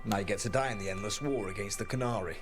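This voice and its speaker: A man's voice: fairly low pitch, racing, over music, lightly acted. Man acting a wry, weary soldier, game dialogue. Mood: wistful, weary. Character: guarded, self-deprecating male soldier turned templar clerk, secret rebel.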